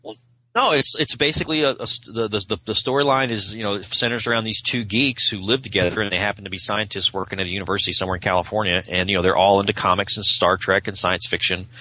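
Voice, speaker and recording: A man's voice: on the low side, steady tone, quick pace, bright, forceful tone, poor audio. A man chatting casually and enthusiastically as a podcast guest. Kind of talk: casual chat.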